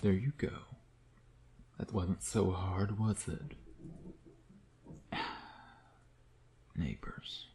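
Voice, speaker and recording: A man's voice: deep voice, steady tone, extremely slow, pauses often, mellow. A man playing a suave, teasing vampire who taunts his nemesis in an intimate, flirtatious ASMR roleplay style. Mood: mocking, seductive. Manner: sardonic, flirtatious, menacing purr with breathy drops.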